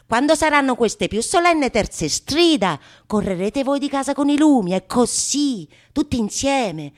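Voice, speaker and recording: A woman playing a fierce, lewd, vengeful wife in a Renaissance stage comedy, declaiming emphatically with drawn-out words and gleeful mock passion. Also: a little high, a little mellow. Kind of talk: theatrical monologue of Renaissance comedy.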